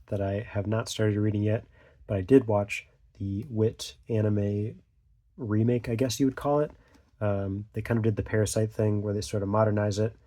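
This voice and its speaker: A young man with a low, mellow, stuffy voice, getting over a cold. He rambles through an unscripted vlog in a flat, even tone, full of ums and trailing thoughts. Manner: hesitant, many fillers and trailing thoughts. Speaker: channel host.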